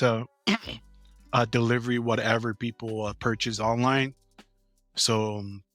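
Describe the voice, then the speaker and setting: A man from American Samoa in his thirties, a business owner answering interview questions casually, halting with many fillers. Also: mid-range voice, a bit bright, no echo.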